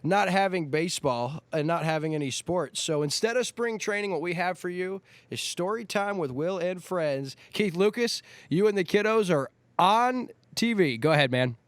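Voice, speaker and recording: A man's voice: sits high, animated, moderate pace, few pauses, no echo. A man hosting a show with casual, joking and teasing banter. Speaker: TV show host.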